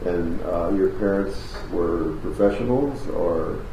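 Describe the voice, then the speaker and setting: A man's voice: deep voice, slow pace, warm tone, roomy sound, a little hiss or hum, low-quality recording. A man conducting an oral history interview in a university office, talking with many fillers and restarts.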